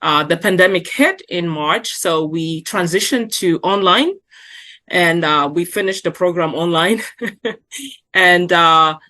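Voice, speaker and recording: Guest: middle-aged woman, native Arabic speaker, deep yet bright voice, halting and repetitive. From South Sudan. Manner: halting, repeats words, many fillers. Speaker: program alumna and epidemiologist. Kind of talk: recounting career path in a webinar.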